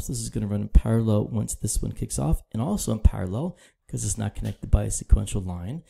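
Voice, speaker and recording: A man presenting a casual screen-recorded software tutorial, walking through steps in a steady, explanatory way. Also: medium pitch, gravelly. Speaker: product demo presenter.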